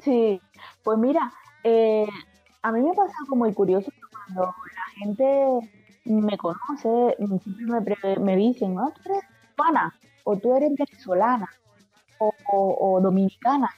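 A woman from Barranquilla with a Colombian Caribbean accent tinged by Spain, slightly husky, telling anecdotes conversationally as an interview guest and acting out quoted exchanges. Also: mid-range voice, a little slow, muffled recording, soft background music. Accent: Colombian Caribbean with Spanish influence.

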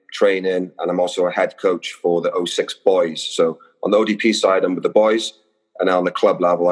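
A man from Wales with a Welsh accent, a guest on a podcast, talking in a relaxed, friendly way with many fillers. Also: low voice. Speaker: guest, youth soccer coach. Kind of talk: casual podcast interview. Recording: long reverb.

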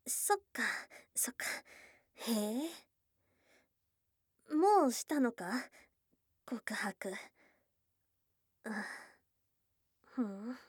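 A woman voicing a shy, tomboyish young goblin girl in an intimate ASMR voice drama, soft and breathy, often dropping to a whisper, close to the microphone. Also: a little high, sing-song, extremely slow, long pauses, dry recording, full character voice. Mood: hesitant, probing. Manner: blunt tomboyish speech, tender and hesitant. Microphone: close, dry studio microphone.

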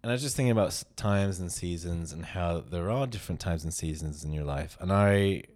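Deep-voiced guy just turned 30, rambly podcast chat, lots of restarts n laughs. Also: brisk, a little mellow. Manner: rambling, self-correcting, laughs often. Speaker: podcast co-host. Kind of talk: casual chat. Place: London.

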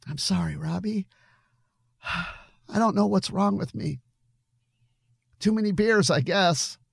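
A man's voice: on the high side, lively intonation, moderate pace, vocal fry, a little mellow, breathy edge, no echo, clean studio sound, pitched above the performer's own voice, full character voice. A man voicing an agitated, defensive young man on the edge of tears in an audiobook story. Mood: apologetic. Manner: evasive, emotional outbursts.

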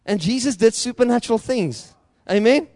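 Passionate South African preacher, high voice. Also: male voice, expressive, moderate pace, no echo. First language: Afrikaans. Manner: passionate preaching, rising to loud emphatic peaks; repetitive rhetorical build-ups. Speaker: pastor. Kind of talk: sermon.

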